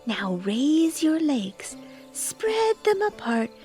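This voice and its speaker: High, drawn-out serene yoga instructor, acted by a woman. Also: big pitch swings, a little mellow, faint music behind, full character voice. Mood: serene, affected. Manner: slow, soothing, affected. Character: serene, gushy new-age yoga instructor. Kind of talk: comedic audio play acting.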